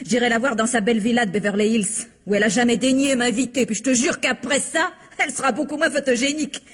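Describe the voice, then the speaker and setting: An actress playing a vulgar, jealous starlet, sarcastic and vengeful, in a French radio crime drama. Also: female voice, fairly high pitch, quick pace, breathy edge. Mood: menacing. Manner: sarcastic, cutting, confrontational.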